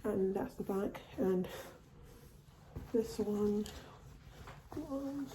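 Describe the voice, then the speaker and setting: A woman's voice: medium pitch, very slow, roomy sound, background noise. A woman showing off her shopping haul in a casual home vlog, her voice still a bit off from a cold. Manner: chatty, repeats and restarts phrases. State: recovering from a cold. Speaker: vlogger. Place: at home, indoors.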